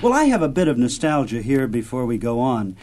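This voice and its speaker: A man's voice: mid-range voice, very animated, brisk, a little mellow. An American man hosting a radio show, interviewing a guest in a conversational style.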